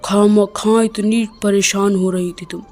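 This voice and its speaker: A woman voicing a calm, sensible older husband who gently scolds his wife, in a storybook tale. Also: mid-range voice, measured pace, faint music behind, lightly acted. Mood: chiding.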